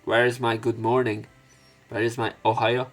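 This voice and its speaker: A man's voice: medium pitch, little pitch movement, normal speed, poor audio. A man doing let's play commentary, reading game text aloud and adding informal, rambling, hesitant remarks. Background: soft game music.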